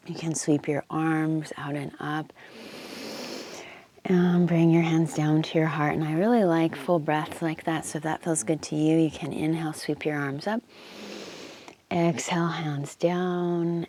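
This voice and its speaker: A woman leading a guided meditation in a soft, unhurried, informal way, often dropping to a near whisper and pausing between phrases. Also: low voice. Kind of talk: guided meditation, gentle instruction. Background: wind chimes and ambient noise.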